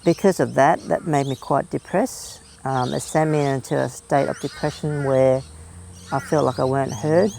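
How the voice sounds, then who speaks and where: A young man telling his own story in a documentary interview, speaking in a soft, earnest and reflective way. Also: medium pitch, fairly even intonation, normal speed, creaky, slight background noise. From Australia, family from Vietnam.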